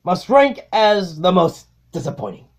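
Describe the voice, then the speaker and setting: A man voicing a vengeful, grandiose robot tyrant in an amateur comic dub, theatrical and halting, with sardonic muttered asides. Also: high-pitched, very animated, exaggerated voice. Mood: dry, sardonic.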